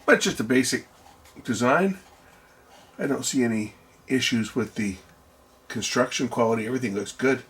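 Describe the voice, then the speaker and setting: A man with a gravelly voice giving casual, hands-on electronics commentary, musing aloud and asking himself questions as a video host. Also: medium pitch, normal speed, long pauses, fairly bright tone.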